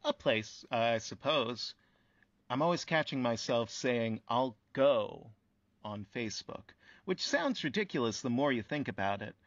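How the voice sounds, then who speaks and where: A man's voice: medium pitch, animated, unhurried, no echo, close to an everyday voice. A man playing a thoughtful, affable interviewer who chats reflectively in a casual radio play. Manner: reflective, conversational, agreeable. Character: thoughtful, affable male interviewer of talking buildings. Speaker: interview host. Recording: dull, dry lo-fi audio.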